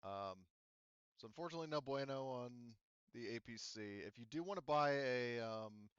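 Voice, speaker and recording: A man's voice: mid-range voice, lively intonation, normal speed, fairly bright tone, clean tone, no echo, highs cut off. A man casually running a tabletop game as game master, hesitating and thinking aloud as he gives rulings.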